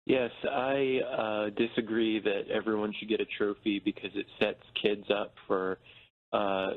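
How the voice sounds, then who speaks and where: A man phoning a live TV program, giving his opinion in a halting, deadpan way. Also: fairly low pitch, close to an everyday voice. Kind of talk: prank call posing as serious opinion. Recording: muffled narrow telephone line.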